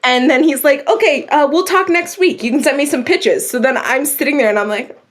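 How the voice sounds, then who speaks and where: A woman's voice: high-pitched, fairly quick, a little hiss or hum. A young woman from Virginia, a guest writer in a casual interview, telling her story with lots of acted quotes. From Virginia, USA. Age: young adult.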